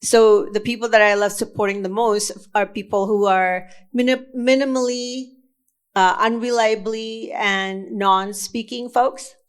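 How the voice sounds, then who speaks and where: Slow, deliberate woman from Indonesia speaking. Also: mid-range voice, steady tone, clean tone. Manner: slow and deliberate with frequent fillers and restarts. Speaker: conference speaker. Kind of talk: conference talk. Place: conference session room.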